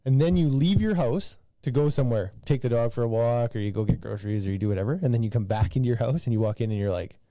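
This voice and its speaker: Male podcast co-host, very dark soft-edged tone, mid pitch, casual banter. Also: fast, hardly any pauses, no echo.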